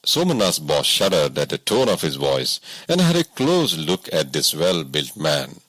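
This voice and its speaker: A man's voice: a bass voice, huge pitch swings, measured pace, fairly bright tone, dry recording. A man narrating a scripted audiobook story as a reader.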